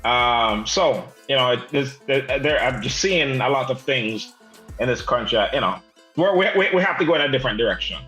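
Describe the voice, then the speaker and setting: A man's voice: mid-range voice, lively intonation, moderate pace, bright, forceful tone, roomy sound, soft background music, rough recording. A man co-hosting a casual panel chat, joking and teasing the others. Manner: joking, teasing, breaks into laughter. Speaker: co-host.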